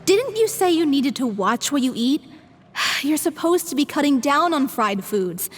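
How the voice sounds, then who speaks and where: A woman's voice: high-pitched, sing-song, measured pace, slight background noise. A woman voicing a young actress in a video game, earnest and reproachful in a caring way. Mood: scolding. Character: scolding, caring young actress with frail health. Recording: long reverb, music behind.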